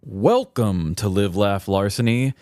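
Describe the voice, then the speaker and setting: A man's voice: fairly low pitch, animated, takes it slowly, noisy background. A man co-hosting a podcast, telling stories in a casual, comedic and chatty way. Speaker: podcast co-host.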